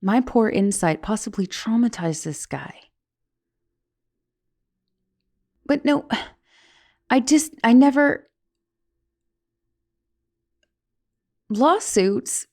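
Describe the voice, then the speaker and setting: A young woman playing a guilty, caring giantess college student in an intimate ASMR roleplay, hesitant and stammering, with soft turns toward whispering. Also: a little high, measured pace. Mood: flustered. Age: young adult. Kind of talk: ASMR roleplay, intimate one-sided dialogue.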